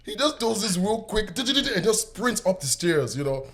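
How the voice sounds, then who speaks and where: A man's voice: sits high, very animated, measured pace, fairly bright tone. A man casually telling an anecdote to friends, laughing often as he goes. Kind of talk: casual storytelling among friends.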